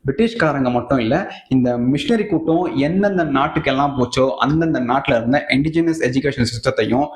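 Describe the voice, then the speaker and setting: Combative Tamil commentator man, echoey room. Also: medium pitch. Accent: Tamil Nadu Tamil. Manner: combative, scornful, colloquial. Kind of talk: opinionated political commentary to viewers.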